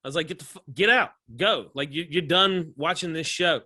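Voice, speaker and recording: A man with a Southern American accent, a comedian telling animated stories in a casual interview and laughing often. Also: fairly high pitch, normal speed, husky, no echo, studio quality. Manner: animated storyteller, laughs often, acts out voices. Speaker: comedian guest.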